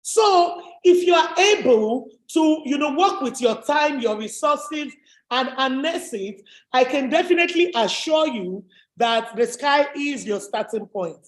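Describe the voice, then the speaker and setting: A woman with a deep voice, giving an emphatic, motivational webinar talk as a business coach. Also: expressive, a little slow. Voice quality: deep, low voice. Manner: emphatic, repetitive, rallying. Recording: echoey room.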